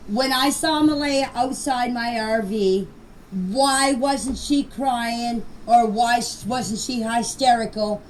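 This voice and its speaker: An older woman in her sixties or beyond speaking plainly and bluntly in an unscripted personal voice message, with an emphatic manner. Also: a little high. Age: 60s or older. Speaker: grandmother giving her personal account. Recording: distant, noisy amateur voice clip.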